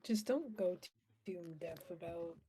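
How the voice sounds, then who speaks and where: A woman's voice: fairly low pitch, normal speed, dark tone, husky, dry recording, clean studio sound. A woman chatting casually as a game player, giving brief, laid-back answers. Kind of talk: casual chat. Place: online voice call.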